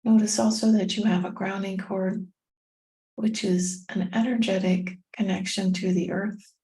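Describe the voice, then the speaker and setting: A woman leading a guided meditation in a soothing, gentle manner, leaving long pauses between short phrases. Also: medium pitch, flat delivery, rough recording. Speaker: meditation guide and tapping coach.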